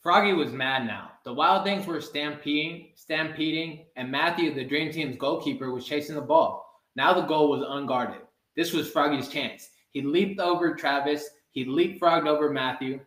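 A young man reads a children's storybook aloud in a fairly high voice. His pace is slow and measured, lifting for the characters' cries, and the room adds clear echo. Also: a bit bright. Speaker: soccer goalkeeper reading a children's story. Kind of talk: animated read-aloud storytime. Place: indoor room with blinds, sitting. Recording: roomy echo.

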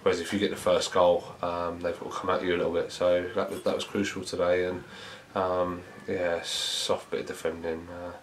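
Low, flat-voiced football manager. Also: male voice, a little hiss or hum. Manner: measured, reflective, many fillers. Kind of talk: post-match interview. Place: small indoor press room before a sponsor board.

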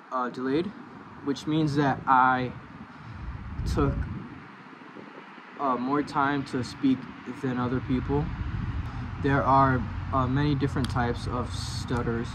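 A young man who stutters, with blocks and repeated sounds, talking to camera in a slow, hesitant way under a park pavilion, holding a small mic close. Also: mid-range voice. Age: young adult. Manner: slow, hesitant, many fillers. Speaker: vlogger. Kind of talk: personal talk to camera. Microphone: small handheld mic close to mouth. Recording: outdoor traffic noise.

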